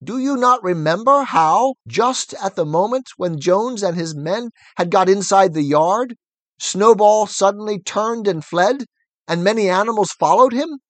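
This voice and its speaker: A man voicing Squealer, a persuasive, excitable male pig who talks fast and insistently. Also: sits high, no room sound, pitched above the performer's own voice. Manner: smooth, insistent, wheedling.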